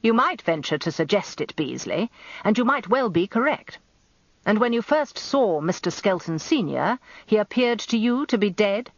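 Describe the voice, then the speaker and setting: A woman acts out a blustering old British defence barrister for a radio story. He is gravelly, combative and theatrically courteous, heard close on a slightly dull recording. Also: mid-range voice, very animated, normal speed, no room sound, pitched above the performer's own voice. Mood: combative, probing. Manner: growling, elaborately courteous, theatrical cross-examiner.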